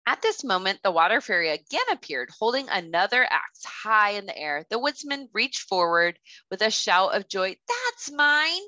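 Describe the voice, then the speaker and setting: A woman in her thirties, a kindergarten teacher reading a children's storybook aloud with careful, expressive delivery. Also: mid-range voice, moderate pace, no echo, dull audio. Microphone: headset.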